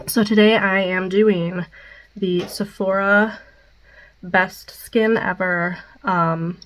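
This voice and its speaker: A woman's voice: medium pitch, steady tone, takes it slowly, a bit bright, rough recording. A young woman with a Midwestern American accent and a stuffed-up nose, chatting casually through a makeup wear-test vlog with many ums. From Midwestern United States. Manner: chatty, many ums, reads product details. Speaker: beauty vlogger.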